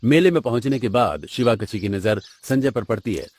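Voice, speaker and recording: A man's voice: on the low side, lively intonation, moderate pace, darkish tone. A man reading scripted narration for a cartoon story as its narrator.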